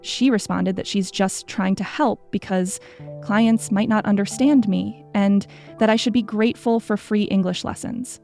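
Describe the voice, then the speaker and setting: Female narrator for scripted stories; mellow tone with vocal fry. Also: mid-range voice, steady tone, a little slow, faint music behind. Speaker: story narrator.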